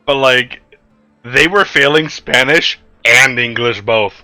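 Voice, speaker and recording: Bright-voiced man bantering over a game. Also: mid-range voice, takes it slowly, dry recording, soft background music. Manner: crude joking, swears freely. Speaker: tabletop game player. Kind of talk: casual banter during an online tabletop game.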